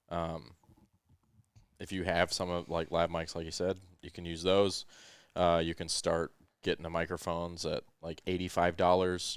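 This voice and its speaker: A man with a smooth voice hosting a podcast, giving relaxed, rambling tech explanations full of ums and uhs into a headset microphone. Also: deep voice, no room sound, studio quality. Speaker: podcast host. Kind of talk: casual explanatory tech chat. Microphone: headset microphone with headphones.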